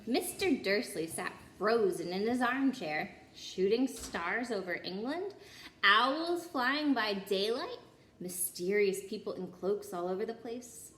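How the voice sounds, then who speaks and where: A woman reading a children's story aloud in an expressive way, with occasional small stumbles over the text. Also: medium pitch, takes it slowly. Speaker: story reader. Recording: roomy echo, clear background noise.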